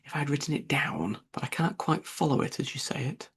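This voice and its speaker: Man reading as a polite, earnest young girl, husky. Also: a little high, a little fast, fairly bright tone, heavily acted.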